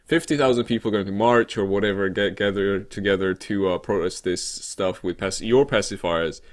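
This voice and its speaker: A man co-hosting a podcast in casual discussion, speaking in a hesitant, halting way as he builds hypothetical scenarios. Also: lowish voice. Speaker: podcast co-host.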